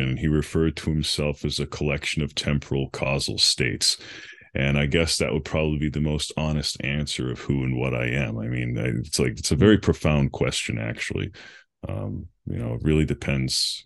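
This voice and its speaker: A man's voice: extremely low pitch, creaky. A middle-aged man from New England, a meditation coach, thoughtfully telling his life story as a podcast guest, with many fillers. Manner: thoughtful, rambling, with frequent fillers and laughs. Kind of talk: reflective conversational storytelling.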